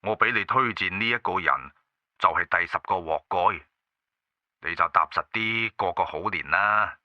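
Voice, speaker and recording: Man acting a gentle refined young man, deep steady bass, Cantonese accent, dull phone sound, dry. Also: moderate pace, dry recording. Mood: calm reassurance. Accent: Hong Kong or Guangdong Cantonese. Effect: telephone call. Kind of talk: Cantonese audio drama acting.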